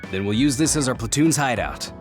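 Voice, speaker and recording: A man voicing a calm, considerate squad captain in an anime-style video game, with a measured, authoritative delivery. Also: mid-range voice, over music, natural voice. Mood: businesslike.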